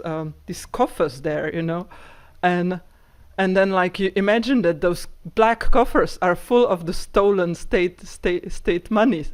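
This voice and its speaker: A woman researcher answering audience questions after a talk, rambling with many fillers like "like" and "you know". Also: fairly low pitch. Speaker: guest speaker, architecture researcher.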